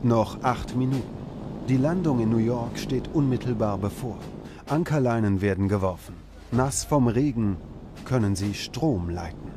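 A man narrating a scripted documentary in a measured, dramatic storytelling manner. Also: mid-range voice, background noise.

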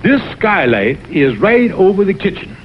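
A slick Southern cartoon fox schemer, high-pitched and swooping in pitch. He blusters and wheedles in old-time TV style. The sound is dull, with music. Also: big pitch swings, normal speed, slight background noise. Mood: persuasive. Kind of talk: 1960s TV cartoon voice acting. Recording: dull vintage TV audio with music.

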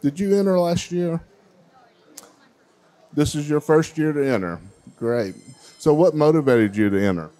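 A man's voice: on the high side, a little slow, pauses often, warm tone. A middle-aged man, hosting a kids' baking contest on a handheld mic in a large fair hall, chatty and encouraging. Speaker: contest host. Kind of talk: emcee interviewing child contestants.

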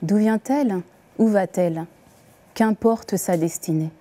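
A woman reciting poems as the poet herself, with deliberate, expressive phrasing and pauses. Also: mid-range voice. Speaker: poet reading her own poems. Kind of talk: poetry recitation.

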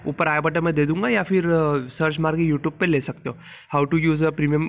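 Host type: hoarse Indian man, fairly high voice, blunt and informal game-tips voice-over. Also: fairly even intonation, moderate pace. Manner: informal, blunt, mixes English terms into speech. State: hoarse, just woke up, sore throat. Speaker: mobile game channel host. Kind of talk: casual gameplay guide voice-over.

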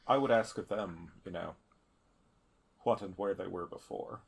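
A man playing a tabletop roleplaying game, speaking for his character in measured phrases. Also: low voice, vocal fry, husky.

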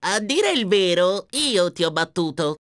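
A woman's voice: fairly low pitch, sing-song, measured pace, dry recording. A woman voicing a plucky, cheeky young male dragon hero in a video game, self-assured and wisecracking, close on a dry studio mic. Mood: cocky. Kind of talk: video game character acting. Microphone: close studio microphone, dry.

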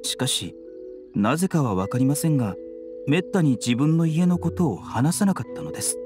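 An actor playing a composed, courteous young male physician in an anime game, polite, measured and gently enigmatic. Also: a little high, animated, music in the background, natural voice. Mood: reflective, reserved. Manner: polite, measured, softly teasing.

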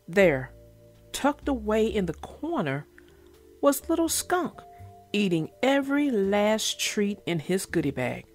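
A woman's voice: mid-range voice, big pitch swings, slow pace. A woman reading aloud and chatting expressively as a children's book narrator and host. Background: music.

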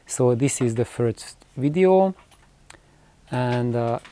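Slow, mellow man explaining, haltingly. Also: medium pitch, lively intonation. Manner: halting, hesitant phrasing with repetitions. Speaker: video host. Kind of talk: unscripted explanatory vlog to camera. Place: small cluttered room with shelves of records, indoors.

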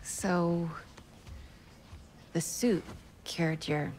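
A woman's voice: on the low side, fairly even intonation, very slow, a little mellow, slight background noise, poor audio. An actress playing a concerned, determined young woman in a superhero game, focused and urgent.

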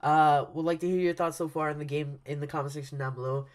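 Excitable young man with headset, rambling. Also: fairly high pitch, quick pace. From Cuban heritage. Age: young adult. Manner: casual rambling with many fillers and restarts, loud excited outbursts. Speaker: YouTube reaction host. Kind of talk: excited live reaction chat. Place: dark bedroom at night. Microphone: headset, webcam at desk.

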